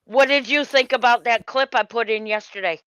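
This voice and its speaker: A woman chatting casually on a livestream, quiet and giving short replies that trail off. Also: on the high side, a little fast, smooth, dry recording, poor audio. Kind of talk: casual chat.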